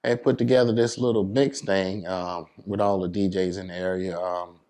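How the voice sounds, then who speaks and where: Low-voiced American man, casual and colloquial. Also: fairly even intonation, moderate pace, warm tone. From New York City, USA. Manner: colloquial, peppered with "you know what I'm saying". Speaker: DJ, interview guest. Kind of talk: casual interview talk.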